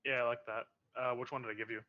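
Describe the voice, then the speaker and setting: A man's voice: mid-range voice, little pitch movement, racing, sharp tone, highs cut off. A man with a faint Canadian accent, a player in a tabletop game, pushing back in casual argument. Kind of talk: casual chat while playing a tabletop game.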